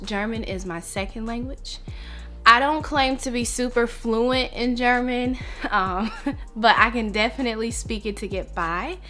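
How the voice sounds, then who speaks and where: A woman's voice: a little high, fairly bright tone. A young woman talking to camera on her vlog, earnest and reflective as she shares something personal. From United States. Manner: earnest, reflective, slow and heartfelt. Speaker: vlogger.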